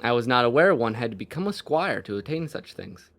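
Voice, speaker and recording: A man's voice: mid-range voice, fairly quick, gravelly. Man playing an unimpressed, polite village boy. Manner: flat, respectful but familiar, unimpressed. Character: plain, impassive village boy, polite and literal.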